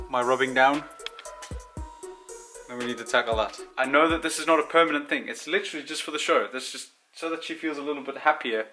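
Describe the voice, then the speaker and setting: Man, from Zimbabwe, rambling self-deprecating chat, bright forceful tone, home garage. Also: a little high. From born in South Africa, grew up in Zimbabwe. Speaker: car vlogger. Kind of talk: casual chat while working on a car.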